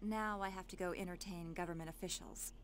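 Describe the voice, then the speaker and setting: A woman's voice: on the low side, steady tone, brisk, a little hiss or hum, lightly acted. An actress playing a friendly, self-assured adult woman scientist in a video game cutscene, conversational and familiar in manner. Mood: casual, helpful.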